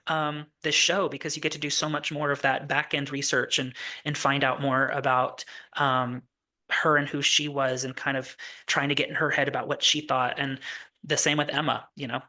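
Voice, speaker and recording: Bright-voiced rambling playwright guest. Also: male voice, mid-range voice, little pitch movement, normal speed. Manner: rambling, many fillers and restarts. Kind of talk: casual interview chat.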